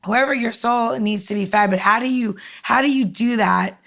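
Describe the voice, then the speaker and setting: A woman's voice: fairly high pitch, moderate pace, a bit bright. A woman hosting a casual podcast interview, rambling as she thinks aloud through her questions. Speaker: podcast host.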